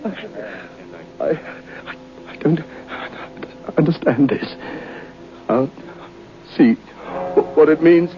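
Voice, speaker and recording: A man's voice: fairly high pitch, drawn-out words, long pauses, soft background music. An actor playing a bewildered, uneasy young nobleman who speaks hesitantly in an old radio horror drama. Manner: hesitant, stammering, baffled. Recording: muffled old radio recording.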